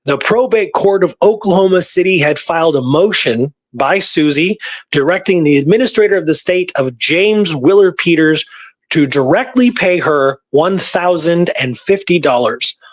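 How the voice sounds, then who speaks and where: A man casually retelling history research from his notes, halting and informal as he reads out dates. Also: on the high side, no echo.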